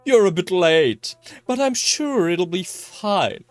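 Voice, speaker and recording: A performer voicing an eerie, playful attendant in a visual novel, coy and knowing, with teasing, drawn-out exclamations. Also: mid-range voice, big pitch swings, no room sound, faint music behind, pitched above the performer's own voice. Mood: breezy, teasing.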